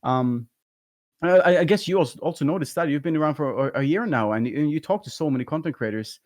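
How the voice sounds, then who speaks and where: A man's voice: fairly high pitch, animated, a little fast, darkish tone. A man with a light voice, a talkative guest in a casual interview who rambles and laughs often. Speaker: guest, YouTube content creator.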